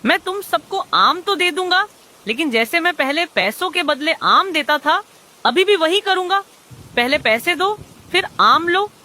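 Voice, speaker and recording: Woman voicing a high, bright greedy male crow. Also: lively intonation, unhurried, full character voice. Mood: stern, dismissive. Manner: blunt, bargaining, dismissive. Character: greedy, grumbling male crow who sells mangoes. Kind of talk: animated children's moral story dialogue.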